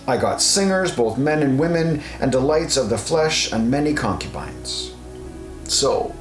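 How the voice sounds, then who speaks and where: A man narrating an audio Bible in a measured, solemn delivery with deliberate pauses. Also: mid-range voice. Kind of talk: scripted scripture reading, audio Bible. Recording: roomy reverberant voice over music. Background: guitar music.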